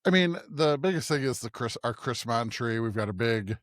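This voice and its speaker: American man, mid-range voice, casual pastor co-host, halting conversational delivery, dry recording. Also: mid-range voice, animated, no room sound. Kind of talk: casual podcast chat.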